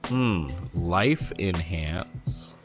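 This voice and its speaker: A man's voice: low-pitched, extremely slow. Man reacting to card art, music under. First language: not English. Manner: thinking aloud, rereads lines, sudden excited outbursts. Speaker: card game content creator. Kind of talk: casual commentary reacting to and reading an article.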